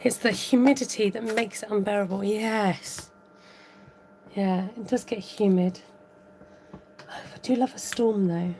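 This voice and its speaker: A woman hosting a live card-making tutorial, thinking aloud as she works, chatting with viewers and reading out their comments. Her voice is a little croaky. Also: mid-range voice, fairly even intonation, unhurried, pauses often, mellow, a little hiss or hum. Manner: rambling, thinks aloud while working. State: slightly croaky voice. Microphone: overhead camera above a craft table, voice from off-frame.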